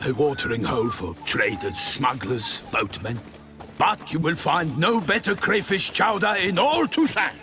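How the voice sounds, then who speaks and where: Courtly knight, lightish higher voice, very animated delivery, grandiloquent and dramatic, video game fantasy. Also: male voice, measured pace. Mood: hearty. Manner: formal, grandiloquent, theatrical phrasing. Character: courtly, florid knight, proud and dramatic, devoted to duchess. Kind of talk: video game dialogue acting. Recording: music behind the voice. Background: game music.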